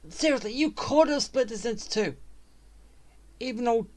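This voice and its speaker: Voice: medium pitch, moderate pace. A game streamer giving casual, rambling and grumbling commentary while playing. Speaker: gaming streamer.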